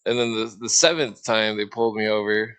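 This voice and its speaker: A man's voice: fairly low pitch, steady tone, brisk, fairly bright tone, smooth. A man chatting casually on a livestream, telling anecdotes in a rambling way with repeated phrases. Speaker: livestream talker. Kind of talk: casual chat.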